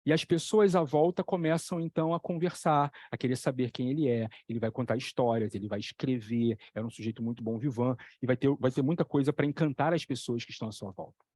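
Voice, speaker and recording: A man talking as a psychoanalytic lecturer, telling stories with lively examples and repeating key phrases for emphasis. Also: mid-range voice, quick pace, dry recording. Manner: animated storytelling, acting out voices in the examples. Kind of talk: explanatory talk on a video channel.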